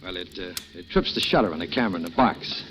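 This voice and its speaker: A man playing a smooth, coaxing schemer who talks down to a young woman, in a 1940s radio drama. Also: deep voice, quick pace, fairly bright tone, a little hiss or hum. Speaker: lead actor. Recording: dull lo-fi 1940s radio broadcast.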